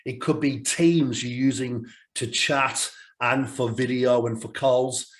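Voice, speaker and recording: A man, croaky from a cold, presenting a business webinar over a video call. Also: mid-range voice, fairly even intonation, slow. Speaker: sales director presenting a webinar.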